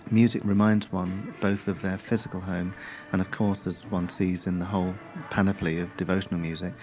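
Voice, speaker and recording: A man's voice: deep voice, flat delivery, a little fast, darkish tone, soft background music, low-quality recording. A man, an arts director, explaining in formal, articulate phrases in an interview.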